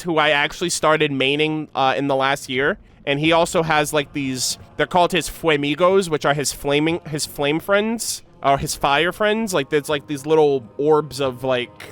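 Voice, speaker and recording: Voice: mid-range voice, a bit bright, clean tone. A streamer giving casual, slangy, exclamatory reaction commentary on a game to chat. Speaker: reaction video creator.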